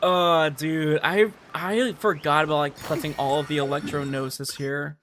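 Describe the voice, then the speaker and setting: A man's voice: on the high side, fairly even intonation, moderate pace, a bit bright, slight background noise. A man streaming a game, chatting casually with viewers and thinking aloud as he goes. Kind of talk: casual livestream chat over gameplay. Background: game music.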